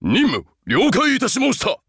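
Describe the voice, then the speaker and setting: A man's voice: extremely high pitch, wildly swooping pitch, moderate pace, a bit bright, slightly rough, no room sound, clean studio sound, exaggerated voice. A man voicing a huge, loyal, humble beast-man soldier in a game, haunted and earnest, speaking with stiff archaic military formality. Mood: stiff, dutiful. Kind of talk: Japanese game character voice acting.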